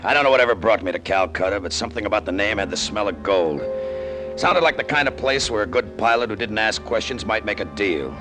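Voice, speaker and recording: Man playing a low-voiced, world-weary American pilot. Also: expressive, fast, natural voice, poor audio. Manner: sardonic, slangy, world-weary. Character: cynical, down-on-his-luck American male pilot, hard-boiled storyteller. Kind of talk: 1950s radio drama acting, first-person narration. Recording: vintage radio drama with music behind.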